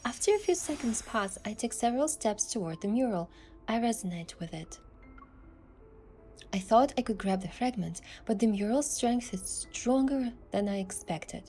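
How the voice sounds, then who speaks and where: A woman's voice: a little high, lively intonation, normal speed, mellow, faint music behind. A woman giving scripted first-person narration of an adventure story as its protagonist.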